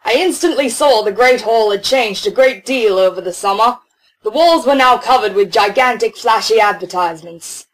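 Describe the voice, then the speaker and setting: A woman's voice: a little high, moderate pace, low-quality recording. A woman reading scripted first-person story narration as the narrator.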